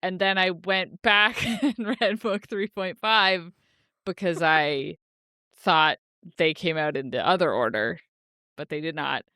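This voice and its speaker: A woman's voice: lowish voice, slow pace, bright, forceful tone, dry recording, clean studio sound. A woman co-hosting a podcast, chatting casually about books in a playful, jokey manner. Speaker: podcast co-host. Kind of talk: casual book discussion chat.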